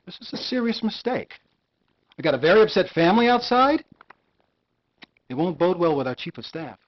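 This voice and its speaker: A man's voice: high-pitched, sing-song, normal speed, highs cut off. A man playing a stern senior doctor giving reprimands in a video game. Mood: scolding. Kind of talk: FMV game acting.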